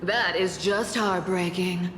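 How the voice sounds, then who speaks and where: An actress voicing a haughty, defiant, sharp-tongued young woman in a video game, with cutting, deliberate speech. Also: fairly low pitch, steady tone, slight background noise. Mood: cold, scornful. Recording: echoey hall reverb with faint music.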